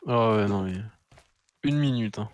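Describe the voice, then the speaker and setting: Lowish-voiced young man, casual gamer. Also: a little slow, no echo. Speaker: gamer playing with friends. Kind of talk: casual chat during gameplay.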